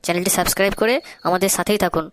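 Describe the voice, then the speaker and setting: Smooth-voiced woman signing off a channel. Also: lowish voice, fairly even intonation, racing. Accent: Bengali. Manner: friendly repetitive sign-off. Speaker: channel host. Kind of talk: scripted outro.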